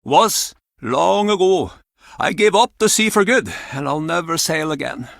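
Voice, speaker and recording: A man's voice: fairly high pitch, lively intonation, measured pace, creaky, fairly bright tone, dry recording, clean studio sound, full character voice. A man playing a bitter, cranky ex-sailor in a video game, with a brusque, mocking, theatrical manner. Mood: bitter, brooding. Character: gruff, bitter Icelandic ex-sailor, cranky menacing male pub regular. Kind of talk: video game character acting.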